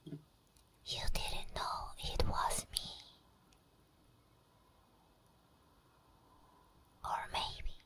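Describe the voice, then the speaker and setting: Woman whispering as an obsessive, seductive female demon. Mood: taunting, intimate. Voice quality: breathy whisper. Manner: slow, intimate, lingering delivery. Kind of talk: whispered ASMR roleplay.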